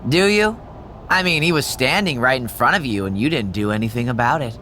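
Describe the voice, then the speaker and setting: A smug, needling assistant. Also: male voice, medium pitch, animated, fairly quick, pushed and bright, slight background noise. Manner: smug, sarcastic. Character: smug male assistant, sly and teasing. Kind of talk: video game voice acting.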